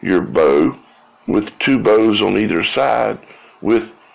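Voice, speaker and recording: A man talks through his explanation informally, at a very slow, halting pace. His voice is heavy with vocal fry and swings widely in pitch. He repeats himself and often tags phrases with a questioning check on the listener. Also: animated, low-quality recording. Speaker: video creator.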